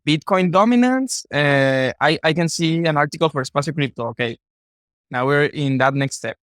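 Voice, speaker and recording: Halting Mexican man with a dry sound. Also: on the high side, lively intonation, a bit bright, clean tone, dry recording. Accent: Mexican Spanish. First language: Spanish. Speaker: podcast guest, content creator. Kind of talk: casual interview talk.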